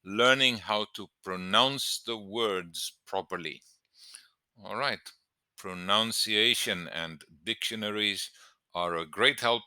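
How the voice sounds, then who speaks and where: Low-voiced man teaching, bright and forceful. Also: clean tone, dry recording. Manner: emphatic, deliberate, stressing key words. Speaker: English teacher giving exam tips. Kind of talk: instructional lesson to camera.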